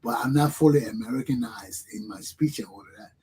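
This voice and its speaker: A man's voice: mid-range voice, a little fast, warm tone, slight background noise. A middle-aged man from Liberia with a Liberian accent, hosting a talk show and giving an emphatic, preachy motivational monologue that repeats its points.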